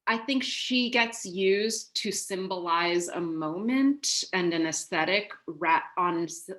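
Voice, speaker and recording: A woman's voice: on the low side, takes it slowly, fairly bright tone. A middle-aged woman from the United States speaking reflectively as an author on a video call panel. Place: home room on a video call. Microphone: video call microphone.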